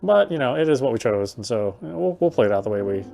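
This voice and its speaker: A man's voice: mid-range voice, sing-song, fast, gravelly, dark tone, faint music behind. A man giving casual gameplay commentary, thinking aloud in a chatty way. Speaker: game streamer and host.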